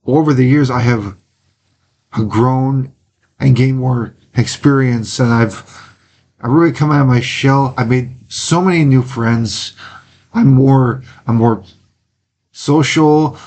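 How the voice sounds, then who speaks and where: A young man from Wisconsin speaks as an interview guest at a medium pitch. He talks earnestly and haltingly, stopping often to restart his sentences. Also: a little mellow. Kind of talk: casual interview talk.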